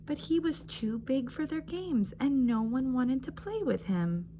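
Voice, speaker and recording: A woman telling stories to young children as a library storyteller, with simple, encouraging address and an animated picture-book reading style. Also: high-pitched, measured pace, slight background noise.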